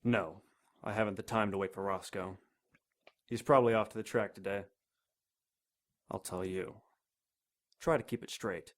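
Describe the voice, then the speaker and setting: A man's voice: lowish voice, moderate pace, pauses often, lightly acted. A man playing a haughty rich industrialist. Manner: haughty, clipped and imperious. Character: wealthy arrogant older male industrialist. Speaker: client. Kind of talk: audio drama acting.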